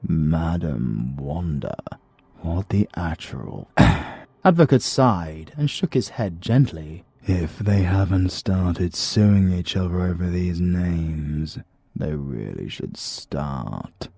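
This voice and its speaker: Very deep British male narrator, slow and gravelly, for a dark audiobook. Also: lively intonation, no room sound. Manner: slow, measured, menacing delivery.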